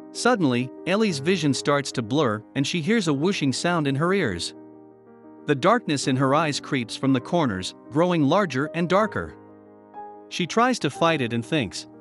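A man narrates a scripted story in a dramatic storytelling manner. His voice sits a little high and moves up and down with very animated intonation. A music bed plays clearly behind him.

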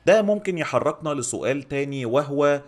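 A man's voice: on the high side, expressive, a little fast. A middle-aged man with an Egyptian accent giving an opinionated film review to camera, speaking close to a microphone in a small home studio. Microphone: microphone on a boom arm, close up.

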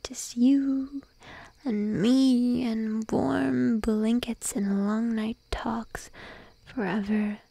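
A woman voicing a shy, needy, teasing sheep-girl girlfriend in an ASMR roleplay, speaking in a soft breathy whisper close to the microphone. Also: on the high side, steady tone, dry recording, full character voice. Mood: tender, affectionate. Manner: slow, drowsy, playfully coaxing. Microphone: close microphone, dry.

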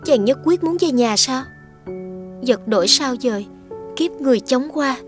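A woman voicing a devoted young fairy wife in an animated fairy tale, gentle and formal in her phrasing. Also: high-pitched, a little slow, soft background music. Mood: sorrowful, pleading.

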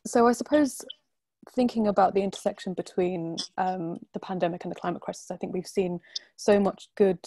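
A woman in her twenties speaking as a panelist on a video call, making a measured, articulate argument through earphones from a bright room at home. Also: mid-range voice, steady tone, dry recording. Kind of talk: online panel discussion. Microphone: earphone headset on a video call.

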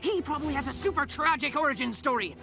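A man's voice: very high-pitched, animated, fast talker, creaky, heavily acted. A man voicing a cocky, dramatic young male superhero in a cartoon, brash and sarcastic, with music underneath. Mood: excited. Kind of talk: animated cartoon acting.

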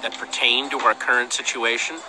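A man's voice: mid-range voice, flat delivery, brisk, lightly acted, rough recording. An actor playing an earnest, pleading young man, the scrappy hero of an adventure game, talking casually. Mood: impatient. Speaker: game protagonist. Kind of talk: animated game dialogue. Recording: game music behind the voice.

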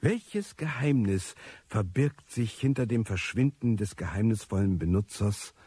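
An actor playing an earnest male news reporter in a radio drama, delivering a scripted report in an emphatic, deliberate, dramatic manner. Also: lowish voice, expressive, warm tone, husky, no room sound. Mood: urgent, intrigued.

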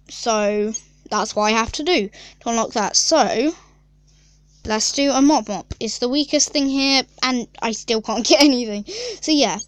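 A woman's voice: a little high, fairly bright tone, clear, resonant tone, no room sound. A young female gaming YouTuber chatting casually and rambling through a mobile game, with lots of fillers and giggles.

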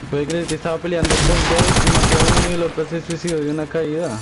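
A man gives relaxed, low-key callouts to his teammate during a live shooter match. His voice sits slightly high. Very loud gunfire and game clicks crowd the background. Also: quick pace. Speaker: teammate, co-streamer. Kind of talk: live gameplay chat. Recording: heavy game noise and gunfire.

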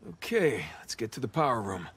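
An actor voicing a wry, easygoing adult treasure hunter in a video game, trading dry jokes. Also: male voice, medium pitch, very animated, a little fast, fairly bright tone, a little hiss or hum. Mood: playful. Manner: joking, dry banter. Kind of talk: video game cutscene acting.

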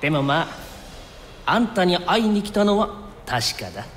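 Animated, high-voiced young hero. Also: male voice, moderate pace, a little hiss or hum. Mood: breezy. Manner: casual, breezy talk with an earnest core. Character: easygoing, soft-hearted young time-traveling fighter. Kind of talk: anime game cutscene acting. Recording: long reverb, music behind.

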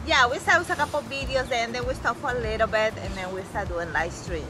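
A woman's voice: on the high side, quick pace, crowd noise. A woman from Peru, co-hosting a casual outdoor vlog with short, easygoing remarks. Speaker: vlog co-host. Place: outdoors in a town.

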